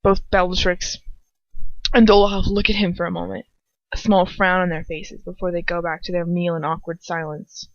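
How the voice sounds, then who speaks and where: A woman's voice: on the low side, level tone, moderate pace. A woman reading fan fiction aloud as an amateur, informal and breaking off into casual asides. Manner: informal, self-interrupting. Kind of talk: informal first-person story narration with casual asides. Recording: dull lo-fi home recording.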